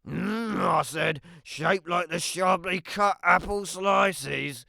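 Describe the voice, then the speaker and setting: A woman voicing a sly, hungry, wry male wolf who tells his own tale in an animated children's read-aloud, with dramatic emphasis and playful asides. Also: on the low side, slow, creaky, no room sound, clean studio sound, pitched above the performer's own voice, exaggerated voice. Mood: sly, scheming.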